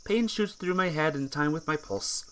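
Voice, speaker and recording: A playthrough host reading a visual novel aloud as expressive, dramatic scripted narration. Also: medium pitch, moderate pace. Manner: dramatic reading, acts out dialogue.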